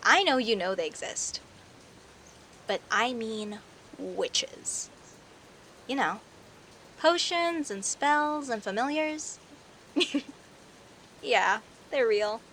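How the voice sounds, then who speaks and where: A woman's voice: fairly high pitch, a bit bright. A woman playing a friendly, teasing werecat neighbor in an audio roleplay, talking conversationally and straight to the listener. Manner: teasing, indignant, conversational with sighs and pauses. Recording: faint hiss and rain noise. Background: rain ambience.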